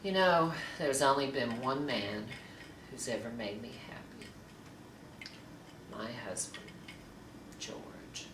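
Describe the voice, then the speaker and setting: Bitter, brooding wife woman performer, theatrical monologue, slow and hushed, roomy noisy recording. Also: a bass voice, heavily acted. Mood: bitter anguish. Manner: halting, repetitive, hushed brooding with breathy whispers. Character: bitter, self-loathing wife brooding over the husband who loves her. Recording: echoey, noisy room, distant mic.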